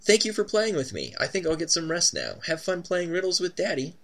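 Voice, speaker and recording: A sweet, eerie little girl chirps in a raised, sing-song voice, as a man puts her on while reading a story game. Her tone is bright and a bit gravelly underneath. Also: sits high, wildly swooping pitch, brisk, pitched above the performer's own voice, full character voice. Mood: sweetly sleepy.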